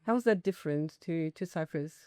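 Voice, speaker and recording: Host, woman in her 50s, measured delivery, smooth lowish voice, dry close mic. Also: dark tone, dry recording. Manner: measured, with pauses and fillers. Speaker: podcast host. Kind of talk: corporate podcast conversation.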